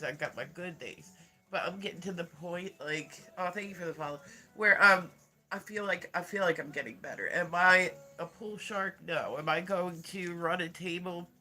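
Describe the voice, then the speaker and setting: A man with a high, bright voice chats casually to his livestream audience. He sounds congested and nasal, rambles with lots of filler words, and laughs often. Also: moderate pace. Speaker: live streamer.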